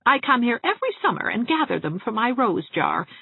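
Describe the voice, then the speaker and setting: A woman's voice: on the high side, sing-song, brisk, creaky, breathy edge, dry recording, narrow, tinny audio, pitched above the performer's own voice, exaggerated voice. A woman voicing a ladylike small-town spinster dressmaker in an audiobook, chatting genteelly with relish for grim family gossip. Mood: chatty, genteel. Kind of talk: acted audiobook dialogue.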